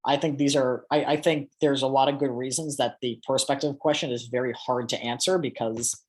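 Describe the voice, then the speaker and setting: Mid-pitched man giving a considered opinion. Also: fairly even intonation, brisk. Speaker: interviewer in training meeting. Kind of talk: reflective meeting discussion.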